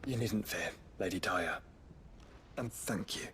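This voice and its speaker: An actor playing a gentle, earnest young nobleman in a video game cutscene, courteous and soft-spoken, with faint noise behind. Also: sits low, slow pace. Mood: gently grateful.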